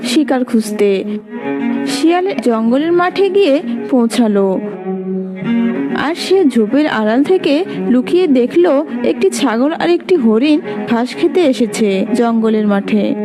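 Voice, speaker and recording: A woman's voice: high voice, animated, unhurried, long pauses. A woman narrating a scripted children's fable as storyteller, with music behind her.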